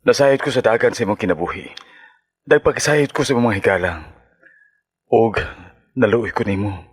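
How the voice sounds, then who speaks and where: Earnest, husky Cebuano suitor. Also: male voice, fairly low pitch, normal speed. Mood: tender, earnest. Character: earnest, sympathetic suitor courting a married woman. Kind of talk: Filipino radio drama acting.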